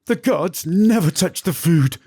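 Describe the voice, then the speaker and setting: A man's voice: high voice, expressive, a little slow, mellow, breathy edge, dry recording, studio quality, voice pushed up, exaggerated voice. A man voicing a palace servant woman who trembles as she sharply accuses the cook. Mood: accusing.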